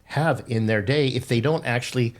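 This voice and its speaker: A man in his fifties hosts a podcast, speaking into a desk mic in a dim studio. He talks earnestly and a little preachy, with dry teasing in between. His pitch sits at an ordinary middle for a man. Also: normal speed. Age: 50s. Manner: earnest, lecturing, wry teasing. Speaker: podcast host. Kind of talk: casual chat.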